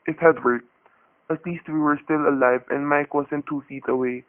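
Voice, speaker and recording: A man playing a quick-thinking hostage husband in a radio thriller, talking and narrating in a tense, hard-boiled way. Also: fairly high pitch, fairly even intonation, close to an everyday voice. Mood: grim, tense. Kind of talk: radio thriller acting and first-person narration. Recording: dull, noisy radio-play recording.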